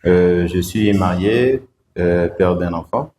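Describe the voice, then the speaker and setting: A very low-voiced man answers interview questions slowly, pausing often on fillers. His voice rings in an echoey room with a faint hiss of background noise. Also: warm tone. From Democratic Republic of the Congo. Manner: measured, hesitant, frequent "euh" fillers. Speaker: guest, provincial road-safety director.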